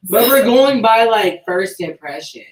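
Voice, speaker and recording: Blunt, lively woman, echoey and distant. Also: a little high, a little slow, noisy background. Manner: blunt, slangy, foul-mouthed. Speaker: podcast co-host. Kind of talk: casual podcast banter. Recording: echoey room, distant from mic.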